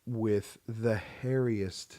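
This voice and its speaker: A man's voice: fairly low pitch, steady tone, extremely slow, dark tone. A man in his thirties co-hosts a casual podcast and is still getting over the flu. He talks in loose, rambling runs, throws in jokes and laughs often. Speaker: podcast co-host. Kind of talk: casual chat.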